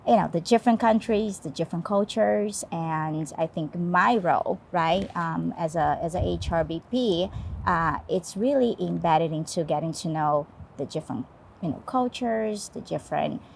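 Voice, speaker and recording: A woman's voice: lowish voice, normal speed, creaky, a little mellow, slight background noise. A woman with a Brazilian Portuguese accent, a guest speaking English as her second language, talking casually and often adding "right?". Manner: fillers, frequent tag "right?". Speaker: HR business partner, guest. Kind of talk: casual interview talk.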